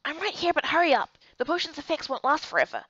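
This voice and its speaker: A woman's voice: sits high, very animated, fast, fairly bright tone, highs cut off, slight background noise, pitched above the performer's own voice. A woman voicing an impatient, teasing young merman companion in a brusque, hurrying manner for a story game.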